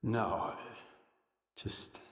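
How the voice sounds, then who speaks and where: An actor playing a calm, grave figure in a video game, speaking in terse, halting phrases. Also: male voice. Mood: grave, gentle. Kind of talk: dramatic video game acting. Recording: echoey, long reverberant hall.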